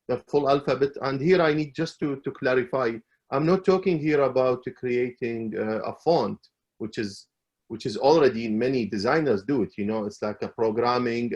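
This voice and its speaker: A middle-aged man from Iraq, a calligrapher, telling his story as an interview guest in a halting way, with many fillers and restarts. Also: mid-range voice.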